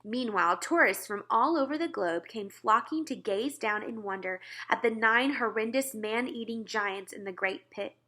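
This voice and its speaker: A woman reading a children's book aloud as scripted narration, expressive and clearly phrased. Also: on the high side, normal speed, hardly any pauses. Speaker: storybook reader.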